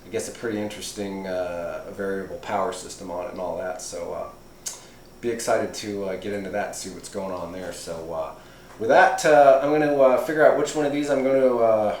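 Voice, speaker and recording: A man's voice: lowish voice, a little mellow. A middle-aged man giving a casual, rambling and enthusiastic unboxing review as a radio hobbyist. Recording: roomy echo, faint background noise.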